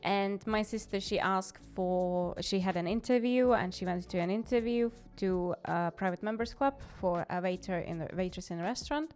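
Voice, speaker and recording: A woman's voice: mid-range voice, moderate pace, few pauses, darkish tone. A woman in her thirties from the Czech Republic, a Czech accent shaped by England, chatting cheerfully as a guest and laughing often. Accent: Czech with English influence. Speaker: guest, hotel commercial executive. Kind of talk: casual interview chat.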